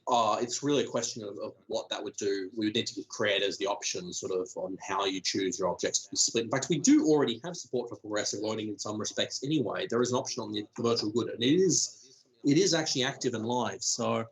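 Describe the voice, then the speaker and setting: Husky-voiced man thinking aloud. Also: fairly low pitch, quick pace, rough recording. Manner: thinking aloud, frequent ums and restarts. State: losing his voice, clearing throat. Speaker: developer answering community questions. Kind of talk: casual technical Q&A.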